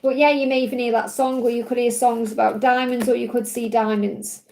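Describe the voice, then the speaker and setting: A woman giving a live tarot card reading to viewers, her voice husky and tiring, speaking in a soothing, reassuring way that keeps checking in with "okay?". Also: fairly high pitch, flat delivery, brisk, darkish tone.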